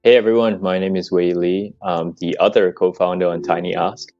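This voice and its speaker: Deep-voiced young Malaysian man, slightly muffled. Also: fairly even intonation, moderate pace, darkish tone, no room sound. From Kuala Lumpur, Malaysia. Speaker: startup co-founder, podcast guest. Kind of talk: casual personal story in an interview. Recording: dull lo-fi mic, faint music.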